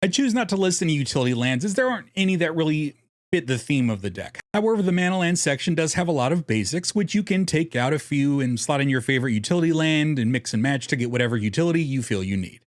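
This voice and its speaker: A man's voice: fairly high pitch, very animated, fast, no echo, clean studio sound. A man narrating a scripted strategy guide in a jokey, irreverent way, casually swearing as host. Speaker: host of a card game deck guide.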